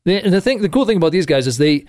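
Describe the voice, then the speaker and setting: A man's voice: high-pitched, expressive, very fast, darkish tone, no echo, clean studio sound. A Canadian man co-hosting a casual music podcast, sharing trivia and laughing often. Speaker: podcast co-host.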